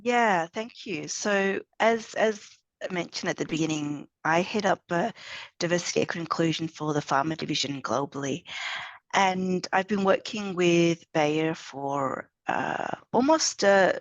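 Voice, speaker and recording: A middle-aged woman with an Australian accent speaks in a measured, articulate way during a panel discussion. Her voice sits on the lower side, and the sound is dry and close. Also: dry recording, lo-fi sound. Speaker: head of diversity, equity and inclusion. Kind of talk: panel talk.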